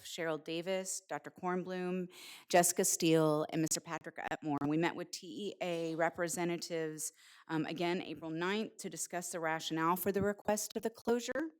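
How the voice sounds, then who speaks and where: A woman district administrator presenting to a school board, with a voice she calls loud and frequent "um" fillers. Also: on the low side, smooth. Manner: self-described loud voice, reads details with fillers. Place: board meeting room.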